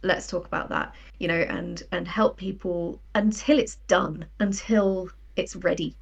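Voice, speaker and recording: A woman's voice: on the low side, fairly even intonation, unhurried, vocal fry, husky. A woman, talking as an interview guest in a conversational, enthusiastic, explanatory way. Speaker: guest, audio drama writer and producer.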